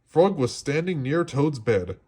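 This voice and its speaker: A man reading a children's story aloud in an expressive storytelling style. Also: a little high, measured pace, husky. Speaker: storybook reader.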